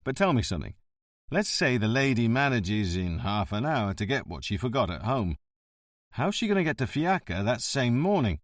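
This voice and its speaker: A man voicing a shrewd, wry police chief who questions calmly and dryly. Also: mid-range voice, no echo, close to an everyday voice. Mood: probing. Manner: calm, dry and measured.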